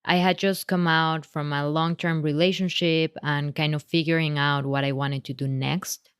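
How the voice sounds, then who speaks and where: A young woman from Mexico with a Mexican Spanish accent, hosting a podcast and interviewing a guest, speaking conversationally and sometimes halting over her questions. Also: low voice, steady tone, clean tone, no echo, clean studio sound. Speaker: podcast host.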